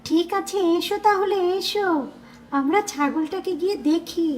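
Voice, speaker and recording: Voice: very high-pitched, moderate pace. A performer playing a kind, supportive young cartoon boy, polite and soothing. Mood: friendly. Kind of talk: cartoon voice acting.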